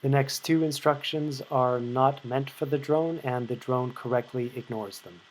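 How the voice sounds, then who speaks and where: A man explaining a technical demo in a measured, deliberate way. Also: mid-range voice, low-quality recording. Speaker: presenter demonstrating a homemade drone project. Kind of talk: explanatory demo narration with radio-style instructions. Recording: clear background noise.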